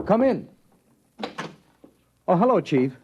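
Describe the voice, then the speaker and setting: A man's voice: sits high, big pitch swings, takes it slowly, noisy background, exaggerated voice. An actor playing a troubled, evasive American father in a 1950s radio drama, hesitant and earnest. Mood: hesitant, polite. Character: troubled, evasive father of a gifted little girl. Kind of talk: 1950s radio drama acting with first-person narration. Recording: muffled vintage radio recording with music.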